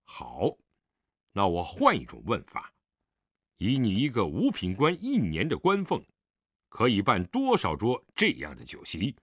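A man voicing a stern, commanding old father, a high-ranking statesman interrogating his son in an audiobook drama. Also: wildly swooping pitch, measured pace, fairly bright tone, husky, dry recording, studio quality, heavily acted. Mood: restrained anger.

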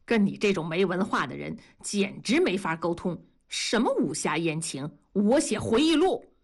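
A grumpy older husband from an audiobook , acted out by a woman. He grumbles in colloquial northern Chinese, stubborn and easily rattled. The pitch jumps about as he complains. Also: big pitch swings, normal speed, husky. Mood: sulky, grumbling.

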